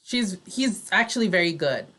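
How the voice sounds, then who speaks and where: A woman's voice: medium pitch, lively intonation, moderate pace, a bit bright. A woman hosting a casual online radio talk show.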